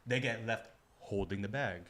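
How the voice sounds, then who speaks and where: A man with a fairly low-pitched voice talks as a podcast co-host. His style is casual chat, explanatory and peppered with everyday slang. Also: moderate pace, a bit bright.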